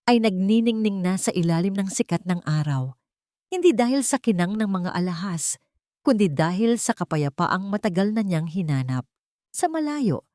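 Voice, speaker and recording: Female storyteller for audio drama: lower register, measured and sentimental delivery, very dry recording. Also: a little mellow, dry recording. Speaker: storyteller narrator. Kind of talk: scripted audio drama narration in Tagalog.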